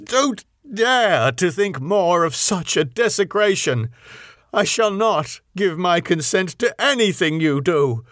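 A man's voice: sits high, lively intonation, slow pace, a bit bright, no echo, clean studio sound, voice pushed up, heavily acted. A man voicing a passionate, anguished young English lord with a hot temper in an audiobook story. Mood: passionate outrage.

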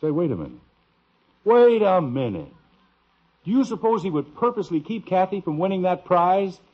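An excitable American father from a 1950s radio comedy. His voice is fairly high and swings widely as he blusters with pride and indignation. The old recording is dull and lo-fi. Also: normal speed, breathy edge, heavily acted. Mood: indignant. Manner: blustery, animated. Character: excitable, proud suburban father, easily indignant. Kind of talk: 1950s radio sitcom acting.

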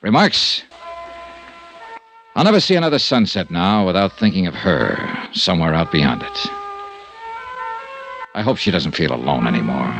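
An actor playing a tough, world-weary insurance investigator in a 1950s radio detective drama. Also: male voice, sits low, big pitch swings, normal speed, pauses often, a bit bright, faint music behind, heavily acted, low-quality recording. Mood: terse, wry. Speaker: series lead and narrator.

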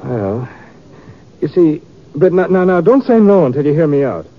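Gloomy stammering stage actor, swooping pitch. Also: male voice, sing-song, normal speed. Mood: nervous, coaxing. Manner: hesitant, stammering. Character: gloomy, nervous, failing travelling stage actor. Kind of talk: 1950s radio western acting. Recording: muffled, noisy old radio recording.

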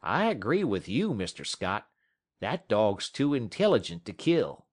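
A man voicing a blunt, rough frontier dog musher who talks in a folksy drawl and drops his g's. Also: lowish voice, big pitch swings, unhurried. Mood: conceding.

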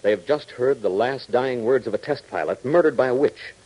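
A man's voice: mid-range voice, brisk, darkish tone, dull audio. A man working as a 1940s radio announcer, reading scripted narration in a dramatic, emphatic style.

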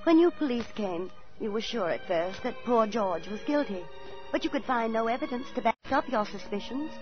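Through a muffled 1940s radio broadcast with faint music behind, an actress plays a middle-aged landlady with a fairly high voice. She is prim and motherly, telling her story in measured, dramatic radio style. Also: lively intonation, lightly acted. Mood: somber, confiding.

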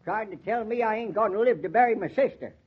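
A man's voice: high-pitched, fast, slightly rough. An actor playing a stubborn, feisty old American country man in a folksy 1940s radio drama. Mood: defiant, grumbling. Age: older. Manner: folksy, crotchety, dialect speech. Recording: muffled 1940s radio transcription.

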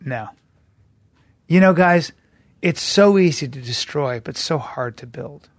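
A man co-hosting a podcast in casual chat, his voice pitched a little high with a gravelly edge. He drives his points home in an emphatic, lecturing way and mixes in jokes. The studio sound is dry and close. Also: takes it slowly, no echo. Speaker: podcast co-host.

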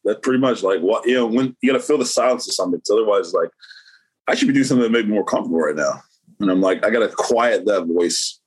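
Man, lowish pitch, husky, casual interview guest, rambling with fillers. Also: lively intonation, fast talker, rough recording. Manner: rambling, many fillers and restarts.

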